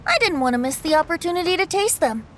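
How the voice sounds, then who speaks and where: A woman's voice: extremely high pitch, animated, quick pace, very noisy. A woman playing a carefree, playful young male bard in a video game, with a lilting, lighthearted delivery. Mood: breezy, playful. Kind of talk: video game character voice acting.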